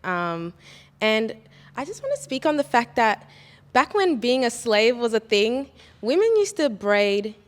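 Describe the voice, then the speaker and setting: A woman's voice: a little high, very animated, measured pace. A young woman giving her opinions in a panel discussion, earnest and heavy on "um". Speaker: panel guest. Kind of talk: casual discussion. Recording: long reverb.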